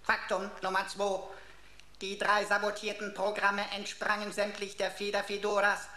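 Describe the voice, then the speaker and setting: An actress in a German radio play playing a pompous, sarcastic pocket computer with florid, mock-formal, theatrical delivery. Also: female voice, mid-range voice, completely flat delivery, moderate pace, sharp tone, reverberant, a little hiss or hum, lightly acted. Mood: pompous, triumphant. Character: pompous, emotional talking pocket computer, a sarcastic sleuth sidekick.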